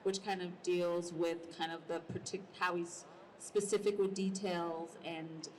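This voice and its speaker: A woman with a fairly low voice moderates a convention panel. She sounds some way from the mic, with slight background noise around her. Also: level tone, unhurried. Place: large indoor convention panel. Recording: noisy convention hall, distant mic.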